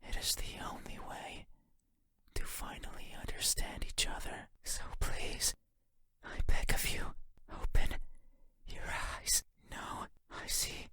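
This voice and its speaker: Slow, whispering eerie creature. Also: male voice, pushed and bright. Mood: resigned. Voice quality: breathy, unvoiced whisper. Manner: slow, deliberate, halting phrases, intense. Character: eerie, obsessive night creature, wounded and menacing. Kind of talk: horror game monologue.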